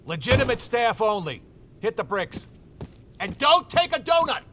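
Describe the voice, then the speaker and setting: An actor playing an irate, bullying office boss who barks with contempt. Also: male voice, very high voice, sing-song, moderate pace, sharp tone, slight background noise, full character voice. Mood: furious, scornful. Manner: barking, contemptuous.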